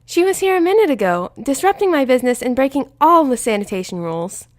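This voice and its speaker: A woman's voice: high voice, sing-song, quick pace, dry recording, studio quality. Woman doing a stern, indignant pony dentist. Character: irritable adult female unicorn dentist.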